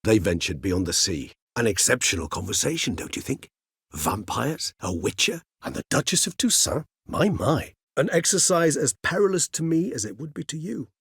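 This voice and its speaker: An actor playing a courteous, erudite old male vampire in a video game, speaking in eloquent, formal, measured phrases with wry philosophy. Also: medium pitch, sing-song, vocal fry, fairly bright tone, breathy edge, dry recording, clean studio sound, full character voice. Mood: courteous, explanatory. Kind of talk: video game character dialogue.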